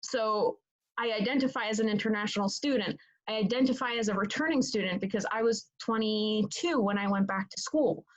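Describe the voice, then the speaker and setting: A woman's voice: mid-range voice, a little fast, poor audio. A young woman whose first language is Italian, answering interview questions in a casual, rambling way with many fillers and restarts. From Italy. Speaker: interviewee, student leader.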